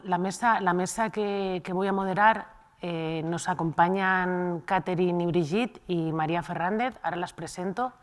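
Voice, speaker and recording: A woman moderating a round-table panel at a conference, introducing guests and asking questions with filler pauses. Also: fairly low pitch, steady tone. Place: conference hall.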